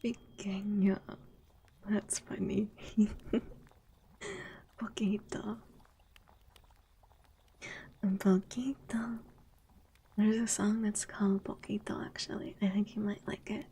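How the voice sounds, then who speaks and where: Slow husky whispering woman. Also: mid-range voice, flat delivery. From United States. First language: Spanish. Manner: soft whispering, reading chat aloud, giggly. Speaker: VTuber streamer. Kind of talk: whispered ASMR stream chat.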